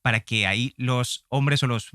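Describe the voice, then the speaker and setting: A man with an accent from Spain, hosting a conversational interview with halting, roundabout phrasing. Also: mid-range voice, bright, forceful tone, clean tone, no room sound, clean studio sound. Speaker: interviewer, podcast host.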